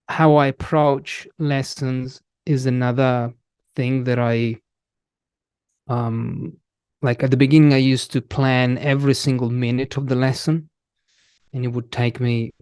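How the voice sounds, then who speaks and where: Slow, halting Italian man. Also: mid-range voice, a little mellow, clear, resonant tone, no room sound. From Italy. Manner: slow, halting, many restarts and fillers. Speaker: guest, English teacher. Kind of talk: casual interview.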